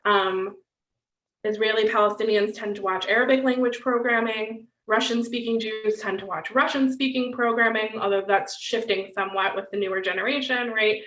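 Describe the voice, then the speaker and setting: A woman lecturing over a video call in a bright, forceful voice, chatty and full of "um" and "right?" tags. The audio is dull and roomy, as if she sits back from the mic. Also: on the high side, fairly even intonation, normal speed, recorded from a distance. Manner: conversational, frequent "um" and "right?" tags. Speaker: guest lecturer. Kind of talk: online lecture with Q&A.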